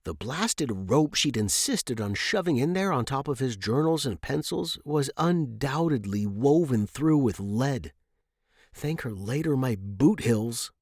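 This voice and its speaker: A man's voice: medium pitch, lively intonation, a little slow, a little mellow, no echo, clean studio sound. A man reading a scripted adventure story as its narrator.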